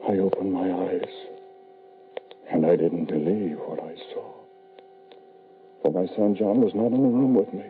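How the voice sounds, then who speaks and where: A man's voice: low-pitched, measured pace, long pauses. An actor playing a frail, grieving older father, hesitant and pleading, in a 1940s radio horror style. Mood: stunned dread. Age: middle-aged or older. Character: frail, grieving father, anxious and desperately loving. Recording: noisy, tinny old-time radio recording.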